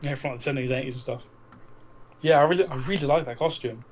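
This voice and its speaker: A man's voice: mid-range voice, fast, crowd noise. A man co-hosting a casual podcast, talking enthusiastically and bantering with some swearing. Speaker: podcast co-host.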